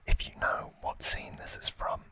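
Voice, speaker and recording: A man hosting ASMR in a breathy, unvoiced whisper, rambling hesitantly and a little self-deprecatingly as he chats. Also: normal speed, pushed and bright, low-quality recording. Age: late 20s or older. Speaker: ASMR channel host. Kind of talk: whispered ASMR unboxing chat.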